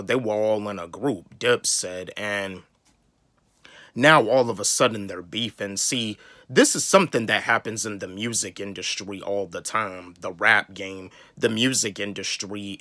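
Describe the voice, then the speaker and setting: Rambling man with a bright, dry voice. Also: fairly low pitch, measured pace, no echo. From North Carolina, US South. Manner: rambling, repeats words and restarts. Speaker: YouTube hip-hop commentator. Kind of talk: casual opinion vlog.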